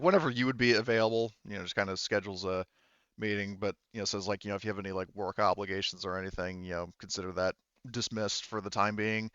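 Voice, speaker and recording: A man speaking as game master, casually narrating a tabletop roleplaying game in a halting, improvised way. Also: fairly low pitch, fairly bright tone, clean tone, dry recording, dull audio. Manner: halting, informal, loosely improvised delivery.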